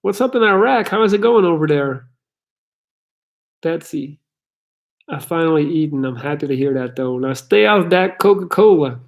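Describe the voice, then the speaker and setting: A man's voice: fairly high pitch, lively intonation, normal speed, long pauses. A man from Belgium with an American-sounding English accent, hosting a casual live Q&A and answering chat questions bluntly and candidly, swearing freely. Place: his parents' house.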